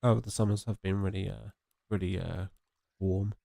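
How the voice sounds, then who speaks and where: A man's voice: low voice, fairly even intonation, moderate pace, creaky, mellow, dry recording, clean studio sound. A young man with a congested, sniffly voice, rambling casually with viewers while streaming a game. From England. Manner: rambling and chatty, answering viewers. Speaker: gaming streamer. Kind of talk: casual livestream chat while playing.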